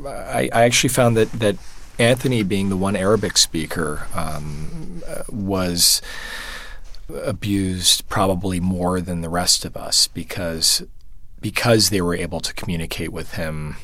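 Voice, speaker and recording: A man being interviewed as a photojournalist, answering thoughtfully and haltingly, with many restarts and fillers. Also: deep voice, a bit bright, dry recording. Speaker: photojournalist guest.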